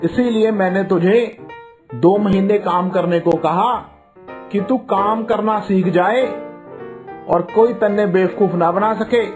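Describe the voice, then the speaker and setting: Guy doing a gruff haryanvi shopkeeper uncle, blunt and scolding but kind underneath, cartoon style, music behind. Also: high voice, slow. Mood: earnest, fatherly. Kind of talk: Hindi cartoon voice acting.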